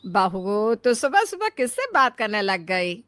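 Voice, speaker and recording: A woman's voice: mid-range voice, big pitch swings, measured pace, fairly bright tone, no echo. A woman voicing a scolding, worldly-wise mother-in-law who lectures in a cartoon moral story. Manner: chiding, lecturing. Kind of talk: acted Hindi moral-story cartoon dialogue.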